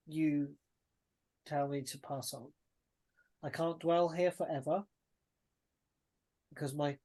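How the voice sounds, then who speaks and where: A man voicing an ancient, fading dragon spirit in a tabletop game, speaking in slow, grave, breathy tones. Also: fairly high pitch, a little mellow. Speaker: game master.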